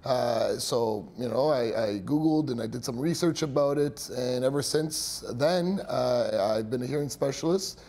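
A man in his thirties with a loud voice, a hearing specialist explaining his work in a casual interview, hesitant with many fillers, on a lapel mic. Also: medium pitch, moderate pace, creaky, few pauses. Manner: hesitant, many fillers, laughs at own jokes. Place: small dark studio set, seated.

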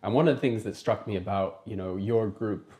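A man's voice: lowish voice, brisk, warm tone. A man from Kenya hosting a podcast, asking long rambling questions full of fillers, recorded on a lapel mic in a small wood-panelled room. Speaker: podcast host. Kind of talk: casual interview.

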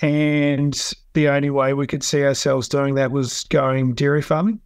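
A man's voice: mid-range voice, level tone, a little slow, clear, resonant tone, dry recording, clean studio sound. A man from New Zealand with a New Zealand accent, a dairy farmer answering interview questions in an easygoing way full of um and yeah.

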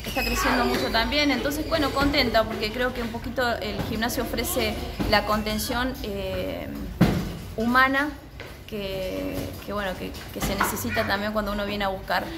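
A woman's voice: fairly high pitch, fairly even intonation, normal speed, loud music behind the voice. A woman gives long, run-on answers in a casual interview as a boxing coach. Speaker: boxing coach and gym representative being interviewed. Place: indoor martial arts gym with children training. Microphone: handheld reporter microphone.

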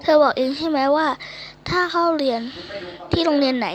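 A woman's voice: sits high, fairly quick, natural voice. A woman reading the part of a stern, exasperated teacher who questions a student bluntly, in a comic read-aloud. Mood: probing.

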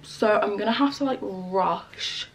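A woman's voice: mid-range voice, unhurried, vocal fry, poor audio. A young woman chatting casually to camera at home, bubbly and gushing, with lots of "like". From England. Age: young adult. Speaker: lifestyle vlogger. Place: small home bedroom and kitchen.

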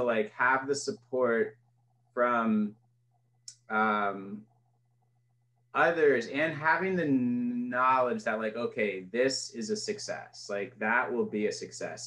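A young man hosting an interview over a video call, stuttering openly with repeated sounds, casual and relaxed. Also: lowish voice, poor audio. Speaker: podcast host. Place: small room indoors. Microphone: webcam mic on video call.

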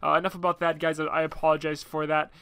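A man's voice: fairly high pitch, level tone, fast talker, sharp tone, clear, resonant tone. A young man with a stuffed-up nose, rambling apologetically through a gaming commentary voice-over with many fillers. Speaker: gaming YouTuber.

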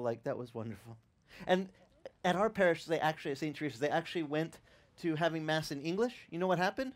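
A man in his fifties preaching outdoors on a beach with a headset mic, talking in a loose, animated way with asides to the crowd. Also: on the high side. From Italian-American upbringing. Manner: animated, conversational preaching with restarts and asides to the audience. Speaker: pastor. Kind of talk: outdoor sermon. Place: open-air beach tent service.

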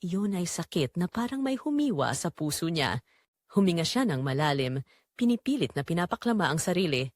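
A woman's voice: fairly low pitch, big pitch swings, moderate pace, dry recording, clean studio sound. A young woman with a Filipino accent narrating a scripted first-person romance story in an emotive, reflective way.

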